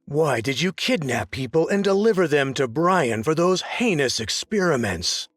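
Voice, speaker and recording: Earnest, high-pitched detective. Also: male voice, lively intonation, normal speed, a bit bright, no room sound, studio quality. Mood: stern. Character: earnest male detective, resolute and businesslike. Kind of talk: video game cutscene acting.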